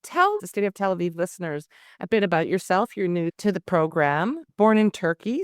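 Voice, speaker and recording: Female podcast host, middle-aged, slightly low voice, deliberate and emphatic, close dry sound. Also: clean tone, no echo. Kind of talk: scripted introduction and formal interview questions.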